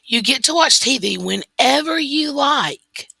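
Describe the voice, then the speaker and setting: A weary but firm storybook dad, played by a woman reader. The speech is slow and emphatic, in a bright voice with some creak. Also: high-pitched, animated, dry recording, voice pushed up, exaggerated voice. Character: weary, firm father of a schoolboy.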